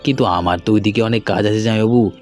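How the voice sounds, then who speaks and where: Low-voiced friendly young businessman, played by a man. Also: quick pace, darkish tone, lightly acted. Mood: polite, friendly. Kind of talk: cartoon dialogue acting. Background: background music.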